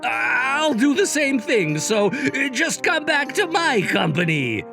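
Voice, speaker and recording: A man's voice: very high-pitched, big pitch swings, slow pace, vocal fry, music in the background, heavily acted. A man voicing a petulant, arrogant disgraced executive villain in an exaggerated, indignant manga dub performance. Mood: pleading. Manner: indignant, whiny outbursts.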